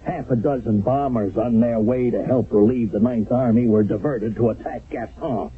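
An actor playing a stern, skeptical wartime army captain in a radio drama, commanding, clipped and sardonic. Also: male voice, medium pitch, normal speed, breathy edge. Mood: angry, sardonic. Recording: narrow, phone-like vintage radio broadcast.

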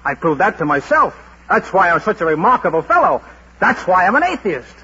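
Brash, bright-voiced cocky working man, old radio. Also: high voice, big pitch swings, brisk, slightly rough, heavily acted. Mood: exuberant, boastful. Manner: declamatory, bossy, cocky. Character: brash, boastful, cheerful Parisian sewer worker. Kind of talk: golden age radio drama acting. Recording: muffled vintage radio broadcast with noise.

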